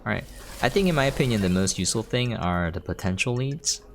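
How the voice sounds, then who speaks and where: A man's voice: on the low side, animated, quick pace, slight background noise. A man giving casual gameplay commentary as a streamer, explaining things as he plays. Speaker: video game streamer.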